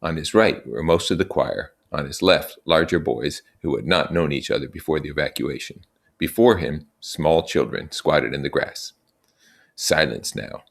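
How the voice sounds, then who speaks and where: A man reading a story aloud and explaining it with casual, expressive comments. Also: extremely low pitch, normal speed, creaky. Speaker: reader and teacher explaining a novel.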